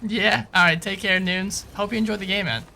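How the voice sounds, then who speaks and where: A man's voice: sits high, fairly even intonation, a little fast, vocal fry, pushed and bright, a little hiss or hum. A man streaming a video game live, chatting casually with viewers and swearing as he plays. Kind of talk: live gameplay commentary. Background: game music.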